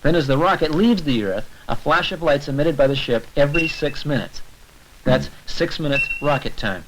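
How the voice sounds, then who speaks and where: A man's voice: on the high side, expressive, normal speed. A man narrating an educational science short in an informal, conversational lecture style, explaining step by step. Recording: noisy old 1970s film soundtrack with clicks.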